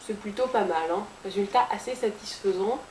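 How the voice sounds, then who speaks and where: A woman's voice: medium pitch, fairly quick, darkish tone. A woman giving a casual, playful makeup tutorial with a chatty manner. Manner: chatty and playful, with sound effects and jokes. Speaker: beauty vlogger. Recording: echoey room with faint hiss.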